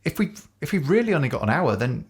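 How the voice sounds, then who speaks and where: A man's voice: fairly high pitch, big pitch swings, fast, husky, full character voice. An actor playing a panicked, self-loathing young man who uses drugs, stammering and hesitant, in a naturalistic audio drama. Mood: distressed, self-loathing.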